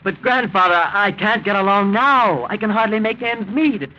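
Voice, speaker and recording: Sharp-voiced spoiled young playboy. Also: male voice, high-pitched, animated, moderate pace, dull audio. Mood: petulant. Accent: American. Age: young adult. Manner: whining, petulant, flippant. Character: spoiled, weak-willed young male playboy. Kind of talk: 1940s radio acting. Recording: hissy 1940s radio recording.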